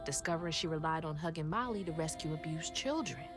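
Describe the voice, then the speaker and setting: A woman's voice: sits low, expressive, fast. A woman acting as a determined, emotional young woman searching for her mother in a video game cutscene. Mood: reflective, wistful. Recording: game music behind the voice.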